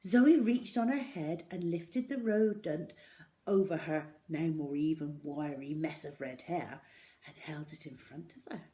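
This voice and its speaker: A woman reading a children's story aloud in an expressive, dramatic way, with deliberate pauses. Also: sits low.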